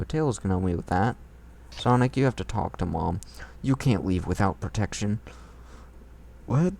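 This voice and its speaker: A man's voice: fairly low pitch, darkish tone. A man reading fan fiction aloud in a mocking, irreverent way, tossing in crude comments of his own.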